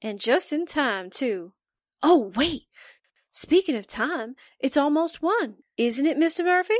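A woman's voice: high-pitched, lively intonation, measured pace, no echo, studio quality. A woman playing a chirpy, eager young newspaperwoman sidekick in a 1940s-style radio drama. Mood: bright, eager.

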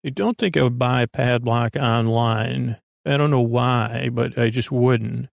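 Middle aged american guy doing a rambly sleep podcast, gravelly creaky voice, super dry close sound, keeps wandering off and trailing off. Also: medium pitch, no echo. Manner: meandering, halting, self-interrupting, trailing off.

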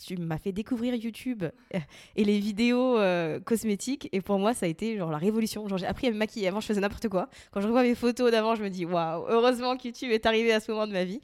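A woman's voice: mid-range voice, quick pace, darkish tone. French woman hosting a podcast. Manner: chatty, many fillers. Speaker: podcast host. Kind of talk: casual interview chat.

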